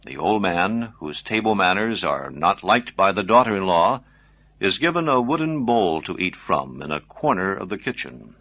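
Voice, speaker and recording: A man presenting scripted educational radio narration in a measured, explanatory manner. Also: deep voice, sing-song, a bit bright, no echo, dull audio. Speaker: programme presenter.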